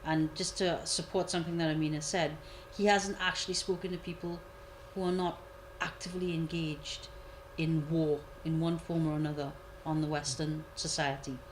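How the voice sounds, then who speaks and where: A woman commentator giving a measured analysis, repeating key points for emphasis. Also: low-pitched, flat delivery, slight background noise, poor audio. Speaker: guest commentator. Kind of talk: analytical discussion.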